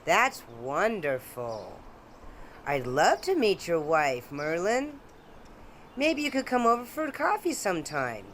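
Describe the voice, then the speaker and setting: A woman's voice: lowish voice, sing-song, slow, fairly bright tone, a little hiss or hum, full character voice. An actress playing a courteous, genteel neighbor woman, easily wounded, in a radio drama. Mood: friendly, courteous.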